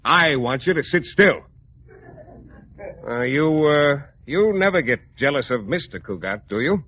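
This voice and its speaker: Haughty artist: high, bright, imperious, theatrical, on a muffled vintage radio broadcast. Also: male voice, animated, a little slow. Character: haughty, bossy male painter with morbid humor. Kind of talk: 1940s-50s radio comedy acting. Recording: muffled, faintly noisy old radio broadcast.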